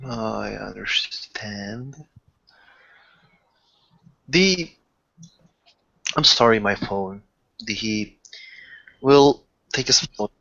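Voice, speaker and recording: A man's voice: on the low side, lively intonation, very slow, vocal fry, long pauses, bright, forceful tone. A man in a casual tabletop roleplaying session, speaking in fragments and often rephrasing mid-sentence. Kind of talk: improvised casual roleplay chat. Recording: dull lo-fi voice recording with clicks.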